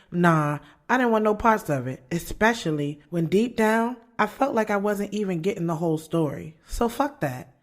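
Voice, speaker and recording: A woman's voice: on the low side, lightly acted. A woman playing a guarded, blunt young woman author in an audio drama, speaking frankly and with slangy sass about her love life. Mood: defiant, sassy. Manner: candid, slangy, sassy. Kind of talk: first-person audio drama narration and dialogue. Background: music bed.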